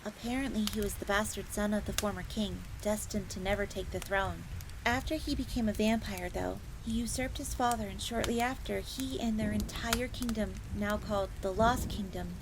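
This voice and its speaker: A woman's voice: mid-range voice, background noise, close to an everyday voice. A woman voicing a young, polite and eager fox maid who tells old lore in a roleplay audio drama. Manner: refers to herself in third person, explanatory.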